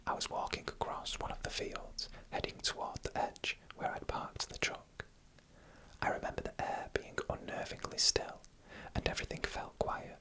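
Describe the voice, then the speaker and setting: This is an ASMR narrator telling creepy stories entirely in a whisper. The delivery is hushed and breath-only, with a bright, sharp edge. Phrases are set apart by deliberate pauses. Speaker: narrator reading horror stories.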